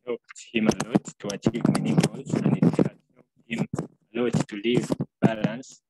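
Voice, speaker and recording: A young man from Uganda co-hosting an online session, introducing the next presenter on a laptop call from a small room at home, pausing often with fillers. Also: medium pitch, vocal fry, a little mellow, slightly rough, poor audio. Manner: hesitant, many filler pauses. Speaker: co-host. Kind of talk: introducing speakers on a video call. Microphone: laptop webcam on video call.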